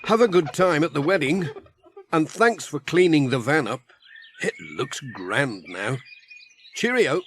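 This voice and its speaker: A man's voice: fairly high pitch, expressive, measured pace, slight background noise. A British man voicing a friendly, cheerful village postman in a children's audio story, chatty and good-humoured.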